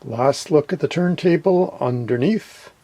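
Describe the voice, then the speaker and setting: A man with a gravelly voice plainly and methodically narrating a hands-on repair as the host of a hobby video. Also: a little high, measured pace, dark tone.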